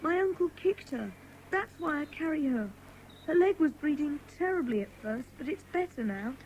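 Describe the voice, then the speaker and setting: A high, childlike voice from a woman acting as a frightened young runaway boy. He is plaintive and guarded, with a streak of defiance, in a children's audio drama. There is faint noise behind him. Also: expressive, moderate pace, a little mellow, low-quality recording. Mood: plaintive, confiding.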